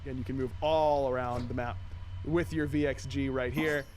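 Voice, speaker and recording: A man's voice: mid-range voice, steady tone, moderate pace, a little mellow, a little hiss or hum. A man hosting a casual video game showcase, commenting in an easygoing, approving way. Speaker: show host.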